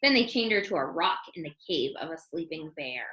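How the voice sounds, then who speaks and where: A woman reading a children's storybook aloud in an expressive storytelling style. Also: low voice, a little fast, reverberant, highs cut off. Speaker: storybook reader.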